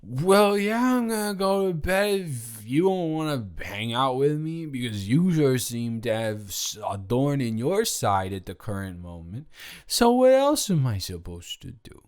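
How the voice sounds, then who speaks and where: A man's voice: medium pitch, big pitch swings, unhurried, smooth. A young man playing a tipsy, goofy college student roommate, rambling and stammering as he talks directly to the listener in an improvised audio roleplay. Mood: confused, mildly hurt. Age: young adult. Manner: rambling, stammering drunken speech with giggles.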